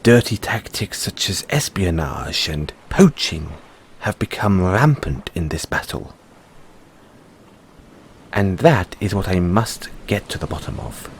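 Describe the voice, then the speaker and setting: Guy doing a proud merman prince for audio rp, formal n wary, deep-ish, really animated delivery. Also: slight background noise, exaggerated voice. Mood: guarded, earnest. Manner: formal, deliberate, measured phrasing with dramatic pauses. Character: proud, secretive merman prince, courteous but wary of humans. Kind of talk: scripted audio roleplay addressed to the listener.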